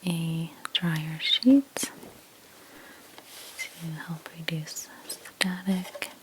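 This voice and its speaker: A woman narrates an ASMR roleplay in a soft, breathy near-whisper. Her voice sits fairly low and she takes her time, gently explaining one step after another. Clear background noise runs behind her. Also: extremely slow, poor audio. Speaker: ASMR creator playing a house cleaner.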